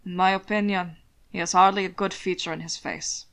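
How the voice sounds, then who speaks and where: Woman playing a brooding, haughty Regency gentleman. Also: fairly low pitch, steady tone, brisk. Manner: muttering, snappish, haughty. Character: brooding, disdainful, proud Regency gentleman, curt and irritable.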